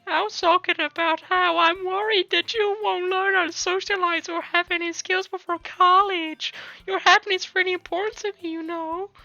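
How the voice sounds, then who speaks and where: A woman voicing a carefree, clingy anime schoolgirl from a visual novel in a squeaky, whiny falsetto. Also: fairly even intonation, normal speed, creaky, bright, forceful tone, no echo, dull audio, heavily acted. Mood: worried, pleading. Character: carefree bubbly anime schoolgirl, worried, clingy childhood friend.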